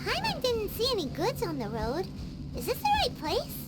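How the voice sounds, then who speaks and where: A woman voicing a tiny, cheeky female fairy companion in a video game, with a high, squeaky, chattering voice. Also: huge pitch swings, normal speed, exaggerated voice. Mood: puzzled. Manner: chattering, teasing. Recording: game cutscene with loud music behind.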